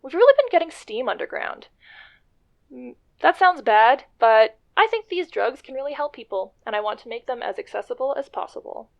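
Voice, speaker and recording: A self-conscious businesswoman in a radio play. Her voice sits slightly high, and she talks haltingly and wryly, often correcting herself. The recording is a bit dull and lo-fi. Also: sing-song, gravelly, exaggerated voice. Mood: uneasy, musing. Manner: halting, self-interrupting, wry. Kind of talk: radio drama acting, halting confessional monologue.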